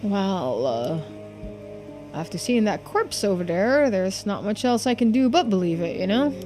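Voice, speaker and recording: A woman's voice: mid-range voice, sing-song, measured pace, a little mellow, soft background music, poor audio. Woman voicing a casual, wry police investigator. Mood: curious, wry. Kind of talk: video game dialogue read-through.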